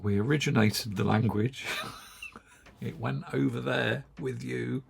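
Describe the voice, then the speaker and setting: A man's voice: mid-range voice, unhurried, vocal fry. A middle-aged English man with an English accent, hosting a knitting channel and chatting wryly to camera with frequent chuckles. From England. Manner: chatty, wry, chuckles often. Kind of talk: casual chat to camera.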